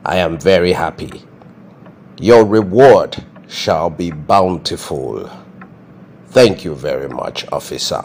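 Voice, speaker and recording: A man's voice: low voice, takes it slowly, darkish tone, a little hiss or hum. A man acting as a stern, pompous king in a comedy skit, speaking in a ceremonious, formal way. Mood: grandly pleased. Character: stern, grandly formal Nigerian king passing judgment.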